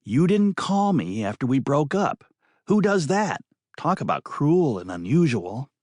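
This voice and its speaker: A man's voice: fairly high pitch, very animated, unhurried, darkish tone, dry recording, studio quality, pitched above the performer's own voice. A man voicing a bossy, scolding woman in an audiobook, lecturing emphatically like an exasperated ex-girlfriend. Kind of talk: audiobook character voice.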